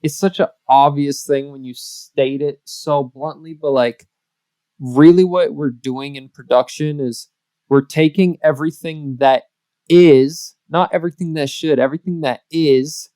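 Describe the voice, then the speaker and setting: A man co-hosting a podcast, making emphatic points in a playful casual chat, often rushing his words. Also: fairly high pitch, steady tone, dark tone, dry recording. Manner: emphatic, speeds up in bursts, playful. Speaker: podcast co-host.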